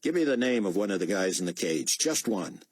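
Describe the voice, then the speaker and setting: A man voicing a brisk man who gives curt commands while quietly helping a prisoner. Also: very animated, slightly rough, no echo, heavily acted. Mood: urgent. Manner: curt, commanding, low-key. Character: brisk male journalist posing as an officer, secretly kind.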